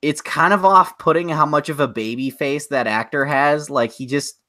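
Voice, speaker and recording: A man's voice: a little high, moderate pace, sharp tone. A man co-hosting a podcast, chatting casually about movies in a rambling, opinionated way. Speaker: podcast co-host.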